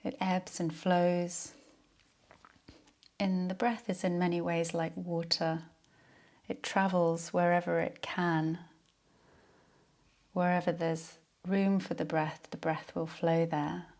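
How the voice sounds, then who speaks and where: A woman leading a guided yoga nidra relaxation in a soothing, unhurried, soft-spoken way. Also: on the low side, level tone.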